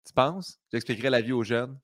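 A man in his late thirties with a Québécois accent, hosting a relaxed podcast chat with lots of fillers. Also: medium pitch, brisk, dry recording, studio quality. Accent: Québécois French. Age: 30s. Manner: relaxed, chatty, with frequent fillers. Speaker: podcast host. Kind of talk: casual conversation.

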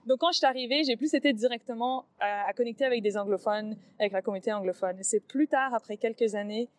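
Higher-pitched woman from France, relaxed. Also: no room sound. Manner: relaxed, hesitant with fillers. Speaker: interview guest, dance artist and communication trainer. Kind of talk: casual conversational interview.

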